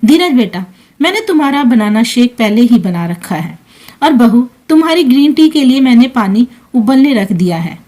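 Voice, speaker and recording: A woman voicing a selfless, soft-hearted elderly mother-in-law in a family story, with an accommodating, soothing manner. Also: a little high, very animated, moderate pace. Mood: gentle, accommodating.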